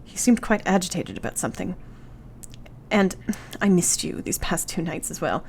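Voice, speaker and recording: Young victorian wife, lowish voice, earnest and tender, proper old-fashioned speech, radio drama, faint noise behind. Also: female voice, very animated, moderate pace, vocal fry, darkish tone. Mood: weary, troubled. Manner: formal, careful period diction. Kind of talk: radio play acting.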